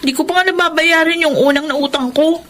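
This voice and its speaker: Woman acting put-upon wife, high bright voice, pleading, faint background hiss. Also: fairly quick. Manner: pleading, defiant. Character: long-suffering young wife and mother.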